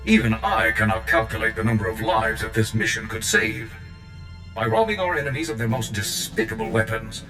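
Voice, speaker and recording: A man voicing a proud, deep-voiced combat droid. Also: lightly acted. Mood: zealous. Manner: formal, precise, earnest. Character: proud, zealous, loyal military combat droid. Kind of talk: scripted video game acting. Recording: game cutscene with echo and music.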